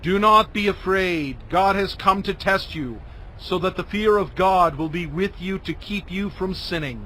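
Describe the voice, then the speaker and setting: Moses, a high-voiced commanding prophet. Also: male voice, a little slow, bright, forceful tone, slight background noise, rough recording. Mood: reassuring. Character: Moses, earnest commanding male prophet and leader. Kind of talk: dramatized scripture acting. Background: music.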